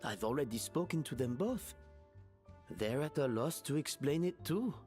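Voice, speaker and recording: An actor playing an earnest, concerned senior kitchen worker in an anime game, explaining things in a husky voice. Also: male voice, medium pitch, lively intonation, moderate pace, a little mellow, faint music behind, lightly acted. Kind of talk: anime video game voice acting.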